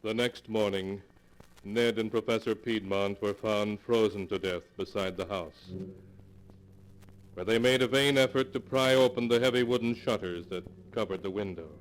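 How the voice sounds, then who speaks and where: An actor playing an ominous, measured storyteller narrating a 1940s radio horror tale. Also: male voice, lowish voice, lightly acted. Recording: faintly noisy 1940s radio recording.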